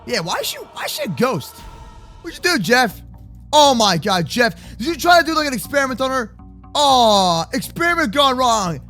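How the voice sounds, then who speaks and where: Excitable high-pitched young man, very lively. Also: normal speed, soft background music. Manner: excitable, exaggerated, slangy banter. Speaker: host of kids' gaming roleplay channel. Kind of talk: improvised Minecraft roleplay for children.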